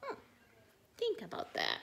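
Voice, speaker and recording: A woman teacher reading a children's storybook aloud, expressive and questioning, pausing to think out loud. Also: slight background noise.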